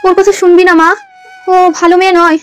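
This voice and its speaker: A woman's voice: extremely high pitch, little pitch movement, moderate pace, faint music behind. A woman playing an anxious, possessive young village mother in a dramatized horror story, with an emotional delivery. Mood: desperate, anguished. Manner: frantic, tearful, repeating herself. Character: anguished, fearful young widowed village mother, fiercely possessive.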